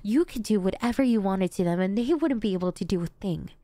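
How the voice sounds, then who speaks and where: A woman's voice: medium pitch, animated, a little fast, mellow, dry recording, studio quality, lightly acted. A woman playing an obsessive, doting female supervillain in an ASMR roleplay, addressing the listener in a theatrical, coaxing, intimate way. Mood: gloating, menacing. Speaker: voice actress.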